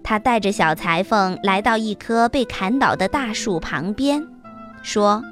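A woman narrating a scripted fairy tale for children as the host, with expressive, deliberate storytelling. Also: mid-range voice, faint music behind.

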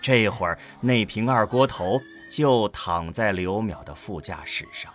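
A man narrating a scripted audio drama as an expressive storyteller. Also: on the low side, unhurried, faint music behind.

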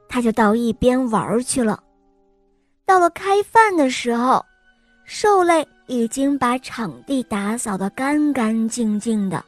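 Sweet, slow children's storyteller woman. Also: on the high side, no echo. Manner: sweet, sing-song, gently coaxing. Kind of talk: children's bedtime story narration.

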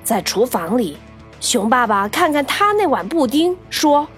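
Female children's story narrator, expressive and swooping, with clear background music. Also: high-pitched, unhurried.

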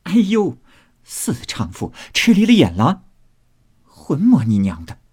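Man voicing a bossy, animated young mistress. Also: medium pitch, moderate pace, husky. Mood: laughing scolding. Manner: bantering, commanding, exclamatory. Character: brisk, bossy, teasing young lady of the house.

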